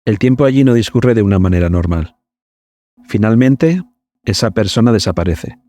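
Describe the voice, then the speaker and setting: A man narrating a script in a measured, explanatory, documentary-like way. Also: lowish voice, animated, mellow.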